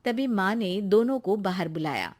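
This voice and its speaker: A woman's voice: medium pitch, lively intonation, normal speed, darkish tone. A woman reading scripted narration for a moral story.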